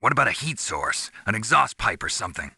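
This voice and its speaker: An actor playing a tough, cynical special-operations soldier in a video game, gravelly and terse with a dry, world-weary manner. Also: male voice, lowish voice, very animated, brisk, pushed and bright, no echo, exaggerated voice. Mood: intent. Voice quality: gravelly, husky. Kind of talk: dramatic video game dialogue.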